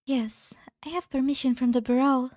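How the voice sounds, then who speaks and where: A woman voicing a gentle, idealistic, serenely self-righteous scientist in an amateur game dub, speaking softly and formally. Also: sits high, fairly even intonation, moderate pace, no echo, clean studio sound, lightly acted. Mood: polite. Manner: soft-spoken, earnest, formal. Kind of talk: scripted anime-game fan dub acting.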